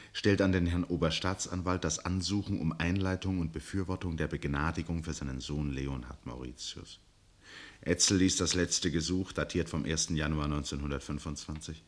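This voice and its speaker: Narrator for a 60s german radio drama, low pitched, measured, articulate, literary style. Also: male voice. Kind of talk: scripted literary narration, 1960s radio play.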